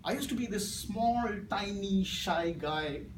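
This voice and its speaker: A man's voice: high-pitched, slow. A middle-aged man with an Indian English accent, a native Malayalam speaker, giving a speech as guest speaker at a club meeting and telling stories that swing from grave to playful. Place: meeting room with audience. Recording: echoey room from far off, noisy.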